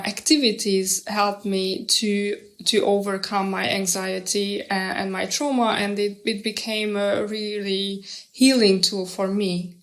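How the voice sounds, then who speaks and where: Thirties woman from hungary, interview guest, keeps pausing with um and uh. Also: medium pitch. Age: 30s. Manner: hesitant, many "um" and "uh" pauses. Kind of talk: interview answers.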